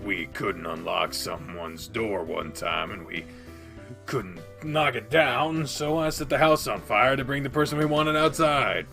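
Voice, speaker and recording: A man doing an excitable furry gamer guy. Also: medium pitch, a little slow, creaky, soft background music. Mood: chatty. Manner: giggly, boastful storytelling. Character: excitable young male animal-person gamer.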